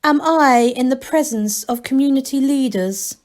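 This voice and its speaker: A woman voicing a thinking computer in a storybook, patient and literal, in short, deliberate sentences. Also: high voice, a little mellow, voice pushed up. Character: thinking computer box, literal, patient, simple-worded machine.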